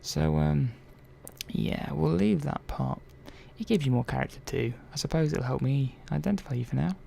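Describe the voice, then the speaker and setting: A man playing a curious, gently chatty robot repair technician in a soft-spoken ASMR roleplay, murmuring and thinking aloud in an unhurried, hesitant way. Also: on the high side, animated, gravelly. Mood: gently absorbed. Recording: faint background noise.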